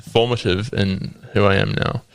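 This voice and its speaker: A young man in his twenties from New Zealand with a New Zealand accent, a podcast guest sharing his faith testimony with many pauses, ums and laughs. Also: lowish voice, expressive, vocal fry, smooth, no echo. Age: 20s. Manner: hesitant, with frequent ums and laughs.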